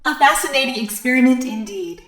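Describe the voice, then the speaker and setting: An echoing, fairly high female voice an actress playing a facility overseer. She is coolly detached, formal and clipped, showing no emotion in this audio drama. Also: fairly quick, a bit bright. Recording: echoing, roomy voice.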